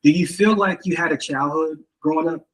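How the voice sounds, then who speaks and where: A man hosting an interview, asking relaxed questions and affirming his guest often. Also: on the high side, fairly quick, low-quality recording. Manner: easygoing, relaxed, affirming with "yeah" and "wow". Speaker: interview host.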